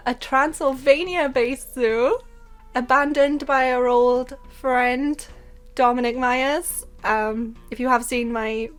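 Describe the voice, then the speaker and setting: Young welsh woman, gaming creator, chatty and excitable, slightly high voice, faint music behind. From Wales. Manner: excitable and chatty, then calm explaining. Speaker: game content creator. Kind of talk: casual gameplay commentary.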